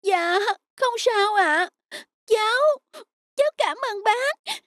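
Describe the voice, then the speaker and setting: A woman voicing a small, naive young donkey with a childlike, earnest manner in an animated fairy tale. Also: very high-pitched, slow pace, no room sound, clean studio sound, heavily acted. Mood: grateful.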